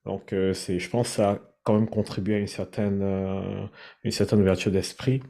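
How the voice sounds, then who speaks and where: A man from Cameroon, sharing his personal story in a thoughtful, measured way. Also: lowish voice, level tone, creaky, mellow. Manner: thoughtful, measured, searching for words. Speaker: guest sharing his testimony. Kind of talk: reflective personal testimony.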